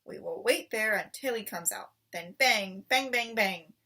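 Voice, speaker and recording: A woman's voice: mid-range voice, unhurried, pushed and bright. A woman voicing a crafty, bossy male farmer in a storybook, commanding and emphatic, recorded at a distance. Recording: voice distant from the mic.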